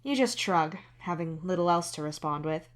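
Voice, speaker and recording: Female story narrator for fiction podcast, lowish register, scripted second-person read. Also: lively intonation, normal speed. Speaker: podfic narrator and author.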